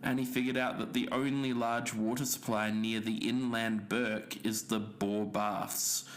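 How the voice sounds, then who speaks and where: A man's voice: on the low side, little pitch movement, a little slow. A man reading scripted, explanatory podcast narration.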